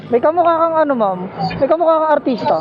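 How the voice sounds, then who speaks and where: A man's voice: very high voice, highs cut off, background noise. A man chatting casually and teasingly with a passenger as a motorcycle taxi rider and vlogger. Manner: jokey, teasing, giggly. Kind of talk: casual chat with a passenger while riding. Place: outdoors on a motorcycle in traffic. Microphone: helmet intercom headset. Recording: loud music, motorcycle intercom on road. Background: clear background music, vehicle sounds.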